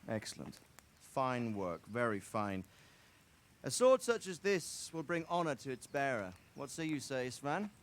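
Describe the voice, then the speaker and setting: An actor playing a gracious, commanding noble lord who speaks formally and deliberately in a game cutscene. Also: male voice, medium pitch, sing-song, slight background noise. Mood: pleased, formal. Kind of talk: dramatic game cutscene acting.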